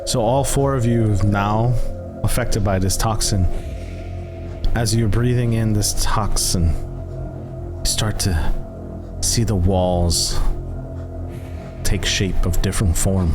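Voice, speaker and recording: Man game master, slow deliberate narration, low voice, background music. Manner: measured, deliberate narration with pauses. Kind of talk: narrating a tabletop horror game.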